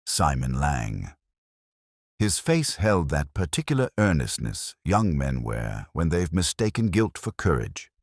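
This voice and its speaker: A man playing a reflective, sceptical English detective, narrating a mystery story in a measured, literary, brooding manner. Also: rumbling low, big pitch swings, no room sound, clean studio sound, full character voice. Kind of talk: scripted first-person mystery narration.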